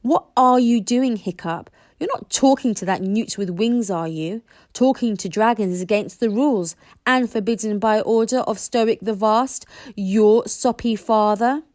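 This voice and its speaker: A woman's voice: on the high side, a little slow, a little mellow, no room sound, clean studio sound, lightly acted. A woman voicing a sneering young Viking bully.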